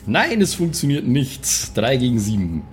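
A man's voice: medium pitch, sing-song, unhurried, soft background music. A man chatting casually as a player in a tabletop role-playing game, talking through moves and rules. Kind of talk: casual improvised game chat, rules talk.

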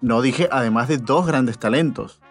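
A man's voice: mid-range voice, moderate pace, soft background music. A man, a news producer, joking in a dry, teasing way. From Venezuela. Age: 30s. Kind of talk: casual chat.

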